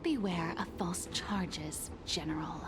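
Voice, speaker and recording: An actress playing a weary, defiant female schemer in a video game, breathy, resigned and bitter. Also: lowish voice, slow pace, background noise. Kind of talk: dramatic game villain acting. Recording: music behind.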